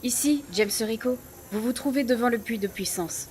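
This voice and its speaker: An actress playing a stern, commanding village clan leader in an audio drama, formal and authoritative. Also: female voice, fairly high pitch, normal speed, noisy background, lightly acted. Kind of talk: French audio drama acting.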